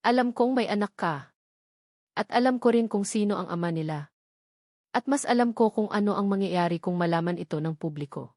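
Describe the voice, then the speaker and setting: A woman's voice: medium pitch, lively intonation, brisk, a little mellow, no room sound, studio quality. A woman narrator voicing a cold, haughty, threatening older mother from a wealthy family, with an icy, commanding manner in a dramatic story.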